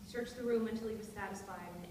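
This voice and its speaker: A woman's voice: medium pitch, fast, lightly acted. A woman playing an anxious, melodramatic widowed stepmother with frail nerves in a dramatic stage reading of a period play, speaking in formal, ornate phrases. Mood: distressed, impassioned. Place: hall at a live staged reading. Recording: echoey noisy hall, distant microphone.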